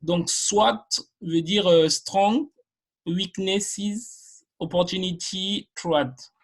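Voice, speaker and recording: A marketing trainer giving a live online class, explaining basics step by step to viewers, often restarting sentences and pausing on filler sounds. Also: medium pitch, breathy edge. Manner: addresses viewers directly and explains points step by step.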